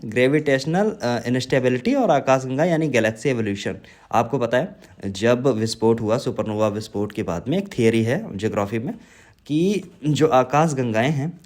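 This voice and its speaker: Man lecturing with a heavy cold. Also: medium pitch. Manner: frequent check words like "right?" and "okay?". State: sore throat and heavy cold. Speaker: exam-prep teacher. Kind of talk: informal lecture on current affairs.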